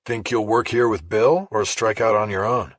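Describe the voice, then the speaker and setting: A man's voice: fairly low pitch, steady tone, moderate pace, no echo, studio quality, close to an everyday voice. A man voicing a dogged male investigative journalist in an audiobook. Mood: friendly. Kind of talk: audiobook character dialogue.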